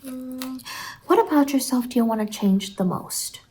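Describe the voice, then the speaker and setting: A soft-spoken woman doing an ASMR roleplay as a salon worker, soothing and reassuring, often slipping into a whisper. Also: sits high, moderate pace, breathy edge.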